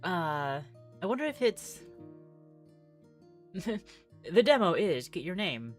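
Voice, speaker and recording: A woman with a low voice chats casually on a game livestream, with music playing faintly underneath. She thinks out loud in a halting way, circling back on her phrases as she goes. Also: very animated. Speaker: game streamer. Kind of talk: casual livestream chat while playing visual novels.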